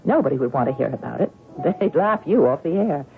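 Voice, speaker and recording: A woman's voice: low voice, lively intonation, quick pace, vocal fry. An actress playing a despairing, worn-out female tennis champion, sardonic and bitter, in a radio drama. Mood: weary despair. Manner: sardonic, weary, dramatic swings into anguish. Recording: tinny phone-like old radio broadcast, music behind.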